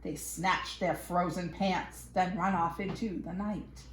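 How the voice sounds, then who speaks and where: A woman reading a picture book aloud to young children in an expressive, playful way with dramatic emphasis. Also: lowish voice, slow pace, slight background noise. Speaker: children's storyteller. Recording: echoey room.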